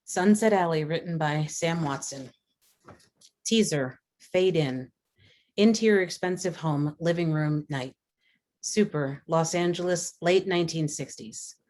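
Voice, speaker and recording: A woman's voice: sits low, unhurried, darkish tone. A woman reading stage directions and scene descriptions as scripted narration at a table read.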